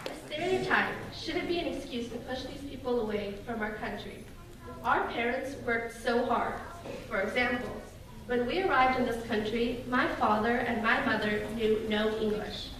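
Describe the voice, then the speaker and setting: A young woman from Russia delivers a prepared speech to an audience in an earnest, measured way. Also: fairly high pitch. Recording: echoey hall from far off, noisy.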